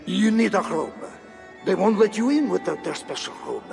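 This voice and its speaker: A medieval church man in a video game drama. The voice sits high for a man and crackles with fry, over faint music. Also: very animated, normal speed, darkish tone, exaggerated voice. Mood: nervous. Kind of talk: video game cutscene acting.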